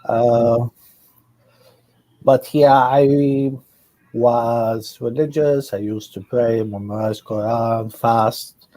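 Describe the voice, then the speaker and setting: Slow, soft-spoken man. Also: mid-range voice, steady tone, dry recording, rough recording. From Syria. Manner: slow, hesitant, reflective. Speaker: guest. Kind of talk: casual conversation.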